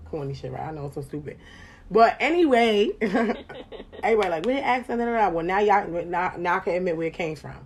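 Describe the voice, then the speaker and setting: A woman hosts a casual chat in a low-set voice. She is animated and profane, and her voice climbs loud and high when she gets excited. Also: brisk, a little hiss or hum. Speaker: podcast host.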